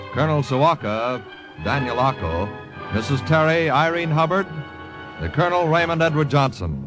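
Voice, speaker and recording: A man's voice: fairly high pitch, low-quality recording. A man with an American accent reading scripted announcements in old-time radio style. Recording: old radio recording, faint music.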